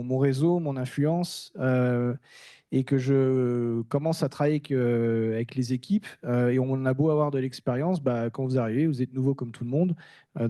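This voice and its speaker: Soft-toned man, candid and halting. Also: mid-range voice, dry recording, studio quality. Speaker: staff engineer speaking on a panel. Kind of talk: informal first-person conference talk.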